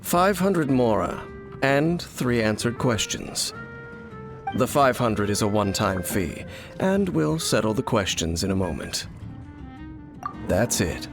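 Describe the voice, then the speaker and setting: A man's voice: mid-range voice, sing-song, slow pace, long pauses. A man playing a solemn, enigmatic wanderer in a video game, speaking in a cool, formal, cryptic way. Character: solemn, enigmatic male wanderer hunting dark forces. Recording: music behind the voice. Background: background music.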